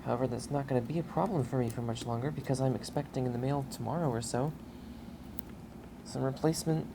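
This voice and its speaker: A woman's voice: rumbling low, fast. A woman giving casual hands-on commentary, thinking aloud in a matter-of-fact way, recorded in a basement. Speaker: hobbyist video creator. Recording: noisy camera recording in basement.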